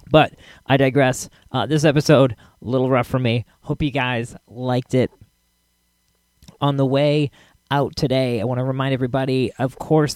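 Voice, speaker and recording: Halting, chuckling man hosting a casual podcast. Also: mid-range voice, a little mellow, no echo. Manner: rambling, halting, chuckling delivery. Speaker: podcast host. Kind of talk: casual solo podcast commentary.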